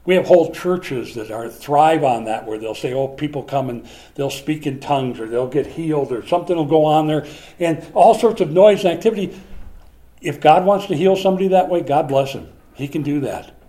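A man preaching a conversational Bible lesson, animated at times and hushed at others. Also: fairly high pitch, normal speed. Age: older. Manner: animated, swings from loud to hushed.

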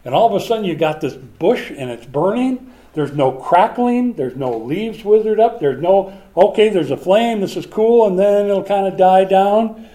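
A man preaching a conversational Bible lesson, animated at times and hushed at others. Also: high-pitched, normal speed. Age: older. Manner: animated, swings from loud to hushed.

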